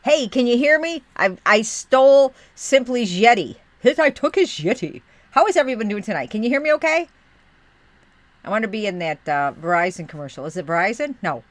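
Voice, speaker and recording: A woman's voice: on the high side, expressive, normal speed, no room sound. Chatty motherly woman streamer. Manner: rambling, motherly, talks to chat directly. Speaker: livestream host reading chat. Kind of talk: chatty livestream in a homey mom persona.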